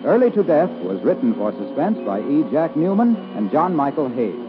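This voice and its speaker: A man's voice: fairly high pitch, big pitch swings, moderate pace. A man announcing a 1950s radio show in a formal, scripted broadcast style. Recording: tinny 1950s radio broadcast, music behind.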